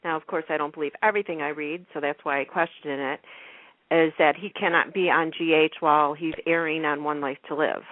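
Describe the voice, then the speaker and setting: A woman's voice: low voice, fairly even intonation, fairly quick, no room sound. Woman host on a phone-like line. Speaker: radio show host. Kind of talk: casual chat. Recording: muffled narrowband telephone-like radio line.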